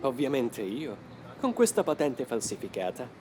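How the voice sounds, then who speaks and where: A man's voice: mid-range voice, sing-song, normal speed, lightly acted. A man playing a cool, secretive young man, speaking in a solemn, commanding anime dub manner. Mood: grave. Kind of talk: dubbed anime motion comic acting.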